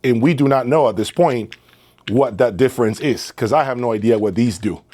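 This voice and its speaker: Male reviewer, medium pitch, casual and emphatic, leans on key words by repeating them. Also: brisk, dark tone. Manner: emphatic, repeats phrases for stress. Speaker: host of an audio equipment review channel. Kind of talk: casual product review talk to camera.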